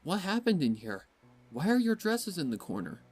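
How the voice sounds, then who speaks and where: Little filly for fan audio drama. High, squeaky, worried and innocent, light music behind. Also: male voice, wildly swooping pitch, normal speed. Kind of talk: dramatic fan fiction reading.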